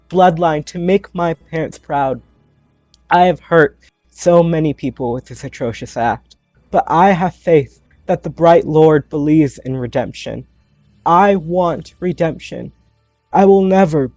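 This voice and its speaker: A man's voice: a little high, a little mellow, no room sound, lo-fi sound. A man playing a remorseful teenage vampire girl who pleads solemnly and earnestly in court in a tabletop game. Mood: earnest, pleading.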